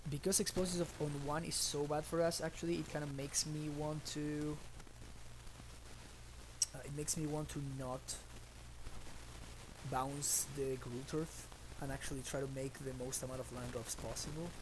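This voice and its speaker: A man's voice: a little high, level tone, normal speed, noisy background. A man in his thirties from Argentina, streaming and commenting casually on his card game as he plays, thinking aloud with many fillers, on a headset in a small room.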